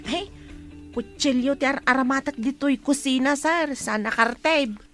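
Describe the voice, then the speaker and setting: A woman's voice: high-pitched, a little slow, fairly bright tone, soft background music. A woman playing a timid young housemaid in a radio drama, deferential and nervous. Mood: flustered.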